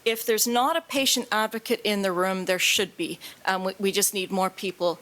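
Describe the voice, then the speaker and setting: A woman's voice: medium pitch, fairly even intonation, moderate pace, fairly bright tone. A British-accented woman in her fifties gives a conference talk as a patient advocate. She speaks plainly and earnestly, with passion, and uses everyday comparisons. She is recorded at a table microphone on a stage. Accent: British, living in Canada. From Britain. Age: 50s.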